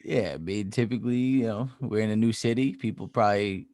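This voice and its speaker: A man's voice: lowish voice, a little fast, a little mellow, dry recording, studio quality. A man co-hosting a podcast in casual chat, easygoing and teasing in his banter. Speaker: podcast co-host.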